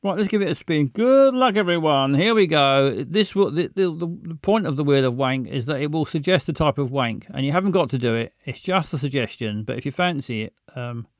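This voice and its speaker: A man's voice: a little high, lively intonation, fairly quick, few pauses, no room sound, clean studio sound. A man, casually chatting with stream viewers in a rambling, stammering, self-mocking way. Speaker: livestream host.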